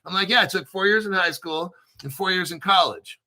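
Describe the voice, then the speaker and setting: A man's voice: sits high, a little fast, sharp tone, rough recording. A man hosting a casual crypto show, talking bluntly and profanely, rambling with restarts. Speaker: crypto channel host. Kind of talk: casual livestream chat.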